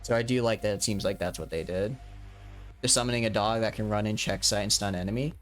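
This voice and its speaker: A man's voice: on the low side, fast, faint music behind. A young man in his twenties, live-streaming a game and chatting casually, rambling with lots of restarts and fillers. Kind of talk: casual live-stream chat while gaming.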